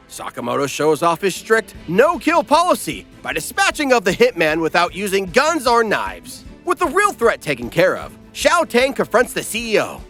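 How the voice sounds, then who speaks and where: A man's voice: high voice, sharp tone. A man delivering flippant, irreverent comedic scripted recap narration.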